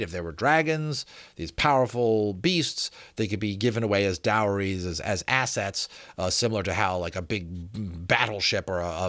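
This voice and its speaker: A man reading a book aloud as its host, in an expressive, emphatic, dramatic storytelling style with a casual touch. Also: low voice, dry recording. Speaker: host reading a novel aloud with his own asides.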